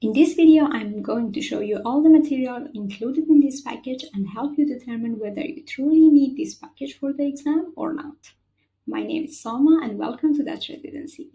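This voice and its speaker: A woman's voice: high voice, moderate pace, vocal fry, warm tone, lo-fi sound. A young woman with a Persian accent, a native Farsi speaker, hosting a chatty, practical tutorial voice-over. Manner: chatty and practical, with frequent fillers. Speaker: channel host.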